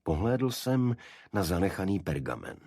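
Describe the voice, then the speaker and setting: A man reads a detective audiobook in a very low, husky voice. His delivery is measured and dramatic, and the sound is dry and close. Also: big pitch swings, a little mellow, no room sound. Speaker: audiobook narrator. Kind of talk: scripted detective story narration.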